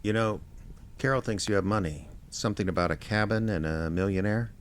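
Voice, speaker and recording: An actor playing a laconic small-town private investigator, narrating his case in a deadpan, understated radio drama style. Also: lowish voice, fairly quick, natural voice. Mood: quiet, probing. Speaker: narrator and lead character.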